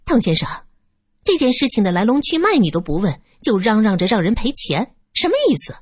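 A calm, earnest young man, as read by a woman storyteller, measured and serious, in her own breathy, lively, close voice. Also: medium pitch, no room sound. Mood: firm.

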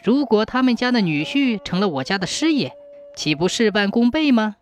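A woman voicing a pompous, quick-tempered and calculating male official in a folk tale, with an imperious manner. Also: mid-range voice, sing-song, faint music behind, close to an everyday voice. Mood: scheming.

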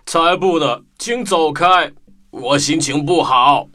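Man playing a slow, moody big grizzly bear. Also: on the high side, bright, forceful tone, faint music behind. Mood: gloomy, sulky. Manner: slow, grumbling, sighing. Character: gloomy, sulky, lonely big grizzly bear. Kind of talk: children's radio play acting.

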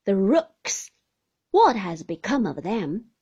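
A woman reading an audiobook, voicing a formidable older aunt who speaks loudly, curtly and with command. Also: mid-range voice, big pitch swings, takes it slowly, slightly rough, dull audio, full character voice. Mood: indignant. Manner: loud, curt and commanding.

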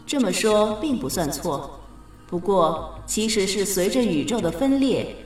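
A heavily echoing goddess of fate played by a woman speaks with calm authority and very varied intonation. She explains patiently, with faint music behind her in a radio drama. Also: medium pitch, recorded from a distance, close to an everyday voice. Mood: explanatory. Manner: measured, explanatory, gently authoritative. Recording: very echoey reverberant studio effect.

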